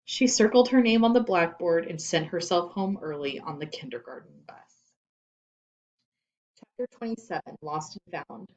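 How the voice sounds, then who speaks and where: A woman reading children's fiction aloud as expressive scripted narration. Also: fairly low pitch, normal speed, highs cut off. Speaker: storybook reader.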